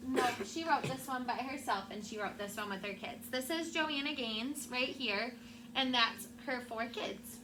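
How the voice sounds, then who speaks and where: Through clear background noise, a woman with a fairly high voice reads a picture book aloud to schoolchildren. She is a teacher who tells the story expressively and breaks off for short asides to her class. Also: measured pace. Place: classroom with children. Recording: clearly noisy classroom recording.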